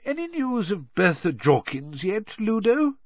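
A man voicing a mild, kindly middle-aged wizard father in a storybook, gentle and tentative. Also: sits high, very animated, unhurried, no room sound, lo-fi sound, voice pushed up, exaggerated voice. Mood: tentative, uneasy.

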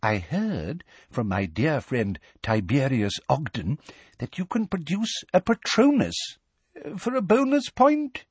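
Old doddery wizard examiner, jumpy high quavery voice, kindly, narrator putting him on. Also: male voice, big pitch swings, slow pace, breathy edge, dry recording, full character voice. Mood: coaxing.

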